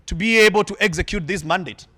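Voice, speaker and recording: A man giving a formal keynote address in a large hall, speaking in an emphatic, measured way with frequent pauses. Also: sits high, sharp tone.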